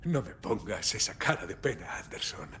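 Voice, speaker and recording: A man's voice: very animated, normal speed, fairly bright tone. An actor playing a wry, world-weary, blunt and stoic lawman in dramatic video game dialogue. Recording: faint background rumble and noise.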